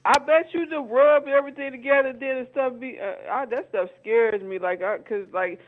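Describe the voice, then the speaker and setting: High-voiced man on a tinny phone line. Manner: chatty, warm teasing, drawn-out signoffs. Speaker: co-host calling in by phone. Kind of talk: casual chat.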